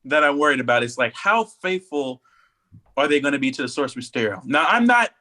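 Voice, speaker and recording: Bright-voiced man chatting casually. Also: medium pitch, very animated, brisk. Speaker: podcast co-host. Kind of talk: casual chat.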